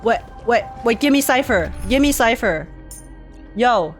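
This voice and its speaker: High-voiced woman reacting to a game. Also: lively intonation, measured pace. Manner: slangy, playful, exclamatory. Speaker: game streamer reacting to story scenes. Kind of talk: casual live reaction commentary. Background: game music.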